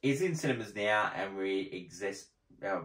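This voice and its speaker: A man's voice: deep voice, expressive, moderate pace, fairly bright tone. A man hosting a casual film review show, chatting and bantering with a co-host on a live stream. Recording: echoey room.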